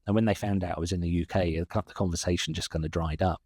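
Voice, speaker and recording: A man's voice: sits low, steady tone, quick pace, darkish tone, slightly rough, no room sound, studio quality. A man with a British accent, answering interview questions in a rambling, self-deprecating way, often laughing at his own remarks. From England. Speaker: guest, SEO consultant.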